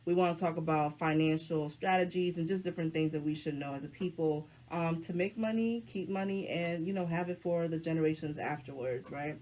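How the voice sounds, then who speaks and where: A woman hosting a casual live talk show, hoarse from the flu, with a deep voice and a chatty, slangy way of addressing her viewers. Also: brisk, few pauses, a little mellow, rough recording. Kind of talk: casual live-stream chat.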